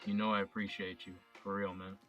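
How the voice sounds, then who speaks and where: A man's voice: low-pitched, flat delivery, a little fast, fairly bright tone, highs cut off, soft background music. A man playing a young adult son with an accused father, bantering with his friend and earnest when it matters. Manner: joking banter that turns earnest. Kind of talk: amateur audio drama acting.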